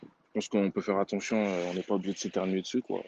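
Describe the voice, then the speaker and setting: A man's voice: sits low, little pitch movement, fast, darkish tone, slight background noise. Casual student in his twenties, dull audio. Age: 20s. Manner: casual, trailing off, many fillers. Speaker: student worker. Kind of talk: personal testimony.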